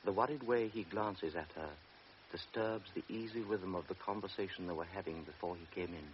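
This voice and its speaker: Deep-voiced male radio drama narrator. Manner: measured, present-tense storytelling. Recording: dull old radio recording with faint hiss.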